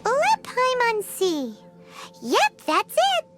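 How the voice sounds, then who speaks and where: A woman's voice: very high-pitched, wildly swooping pitch, drawn-out words, over loud music, full character voice. A woman voicing a tiny, cheeky, bossy fairy companion in a video game, chirpy and boastful. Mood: eager, excited. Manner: refers to self in third person, chirpy, boastful.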